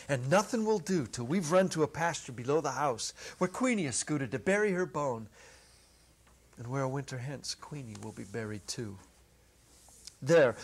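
A middle-aged man giving a measured, expressive dramatic reading of a story as narrator. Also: medium pitch. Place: small indoor set. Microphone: lapel.

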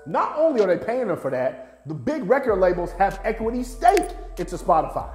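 A man's voice: high voice, very animated, fairly quick, a little mellow, faint music behind. Emphatic American man, roomy echo. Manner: emphatic, blunt, profane, slangy. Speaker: music promoter and video host. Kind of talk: opinionated explainer to camera. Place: small indoor office studio.

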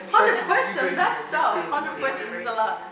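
A middle-aged woman from Mexico giving an energetic, emphatic motivational talk to students, laughing often as she walks around. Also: high-pitched, slow, a loud crowd. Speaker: keynote speaker. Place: large lecture hall. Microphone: far room pickup. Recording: echoey hall from far off.

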